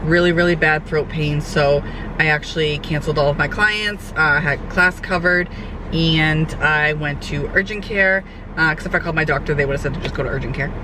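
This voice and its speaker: A young woman, a fitness trainer, chats casually in a vlog update, her voice hoarse and nasal from a cold. She rambles with lots of fillers. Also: sits low, moderate pace. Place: sitting outdoors, traffic nearby. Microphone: camera mic. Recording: outdoor camera audio with traffic noise.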